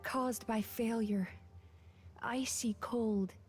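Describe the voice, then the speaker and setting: An actress playing an earnest young dream-eating yokai woman in an anime-style video game, speaking in a measured way. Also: on the high side, steady tone, darkish tone, soft background music. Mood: wistful.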